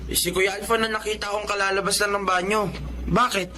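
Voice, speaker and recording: A man's voice: sits high, little pitch movement, brisk, natural voice. A man acting a frank, attentive teenage younger brother in a Filipino radio drama. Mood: defensive. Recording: clearly noisy background ambience.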